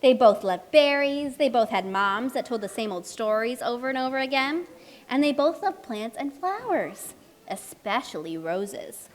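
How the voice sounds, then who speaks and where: A woman's voice: on the high side, lively intonation, moderate pace. A woman narrating a scripted children's puppet show as storyteller, explaining things and addressing the characters. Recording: long hall reverb.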